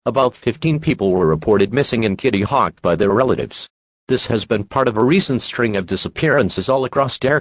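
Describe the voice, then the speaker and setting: Man as an impersonal emergency alert voice: formal, measured, very dry sound. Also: mid-range voice, dry recording. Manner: flat, formal, measured delivery. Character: impersonal male emergency broadcast announcer.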